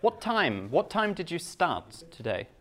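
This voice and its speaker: British male guest speaker, unhurried, plain words, self-mocking humor. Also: on the high side, big pitch swings, a bit bright. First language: English. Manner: simple slow English with self-mocking jokes. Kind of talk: live talk to a Japanese audience.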